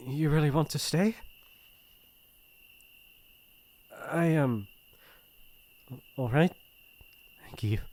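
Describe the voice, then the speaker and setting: A man with a British accent playing a shy, earnest young crown prince in an ASMR roleplay, speaking in formal, hesitant, gentle phrases. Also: mid-range voice, natural voice. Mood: hesitant, grateful. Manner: formal courtly phrasing, hesitant and soft-hearted.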